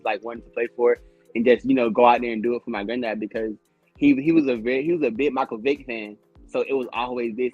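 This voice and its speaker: A man's voice: medium pitch, muffled recording. A man in his twenties from the Atlanta area, a guest football player talking politely in a casual chat. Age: 20s. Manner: polite, answers with "yes, sir".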